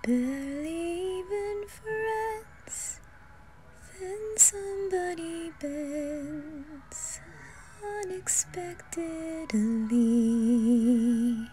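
A woman softly singing a slow ballad. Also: very high voice, poor audio. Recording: music behind the voice. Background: soft music.

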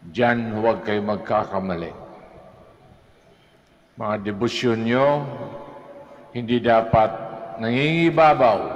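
An older man with a Filipino accent, a priest giving a homily at Mass, speaking with slow, deliberate pauses into a lectern microphone. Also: fairly low pitch, lo-fi sound. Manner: slow, deliberate preaching with pauses, switching into Tagalog. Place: large church, at an altar lectern. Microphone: lectern microphone on a stand. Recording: echoey church hall.

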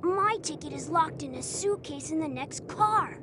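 A performer voicing a friendly, trusting child in a video game, eager and expressive. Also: sits high, moderate pace. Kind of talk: video game character acting. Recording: faint train noise.

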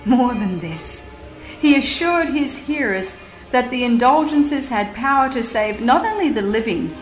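A woman's voice: a little high, lively intonation, a little slow. A woman narrating a religious history audiobook in a solemn, emphatic, formal manner. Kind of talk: dramatized scripted narration, reading a religious history. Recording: echoing voice over music. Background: music.

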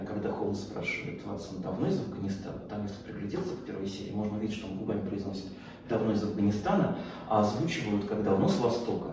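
A man's voice: mid-range voice, mellow. A middle-aged man giving an informal lecture, talking off the cuff and hesitantly searching for words. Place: small indoor lecture room, far camera. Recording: echoey room, distant mic, faint noise.

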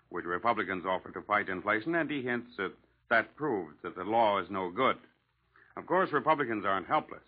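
An American male correspondent delivers a scripted 1940s radio report in a mid-range voice. His manner is wry and colloquial. The old recording is thin and tinny, like a phone line. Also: mid-range voice.

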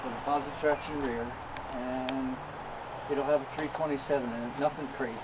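A man giving a casual tour of his cars, explaining engines in a relaxed, matter-of-fact way with the odd chuckle. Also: mid-range voice, brisk, background noise. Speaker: upholsterer showing his cars. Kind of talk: casual shop tour chat.

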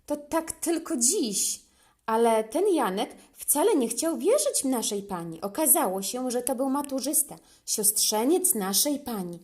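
Animated woman storyteller, lightly high voice. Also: normal speed, hardly any pauses. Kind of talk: scripted children's story narration.

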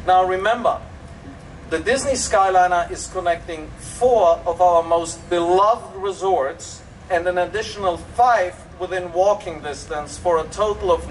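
Enthusiastic Austrian-accented man at an outdoor dedication. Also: high-pitched. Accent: Austrian German. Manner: enthusiastic, emphatic, with frequent fillers. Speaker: resort executive giving a dedication speech. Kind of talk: public dedication speech. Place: outdoors at a public event. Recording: distant outdoor recording with faint background noise.

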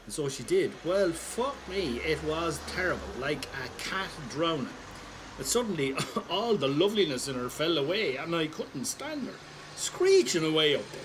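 A man acting an animated, roguish old Irishman. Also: a little high, moderate pace, noisy background. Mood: wry, amused. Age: older. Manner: rambling, sweary, wry and chuckling. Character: roguish, callous, wry older Irish pensioner reminiscing. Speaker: storyteller. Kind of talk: dramatic monologue told as casual pub-style anecdote.

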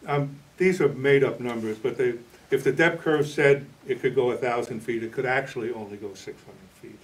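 An older man in his sixties speaks as an interview guest, explaining in a measured, halting way. His voice sits at an ordinary male pitch, picked up a little away from the microphone. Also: recorded from a distance, low-quality recording. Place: indoor TV studio, green screen.

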